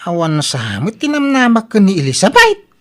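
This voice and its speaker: A woman's voice: medium pitch, wildly swooping pitch, a little fast. A woman with an Ilocano accent playing a lovesick, stubborn grown son in a comedy drama. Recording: noisy recording with clicks.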